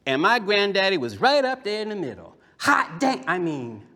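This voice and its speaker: A man performing a story for children as a puppeteer, in a dramatic, emphatic manner with repeated phrases and drawn-out words. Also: sits high, huge pitch swings, pushed and bright. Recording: echoey hall with long reverb.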